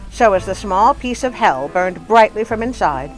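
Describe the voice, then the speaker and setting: A woman storyteller and channel host with an expressive, dramatic delivery, reading scripted stories for children. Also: medium pitch, normal speed, faint music behind, poor audio.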